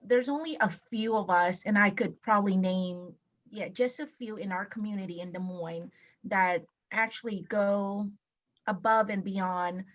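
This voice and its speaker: A woman from Laos speaking on a webinar panel, pausing often with ums and restarting her sentences. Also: medium pitch, a bit bright, muffled recording. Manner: hesitant, many ums and restarts. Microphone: video call.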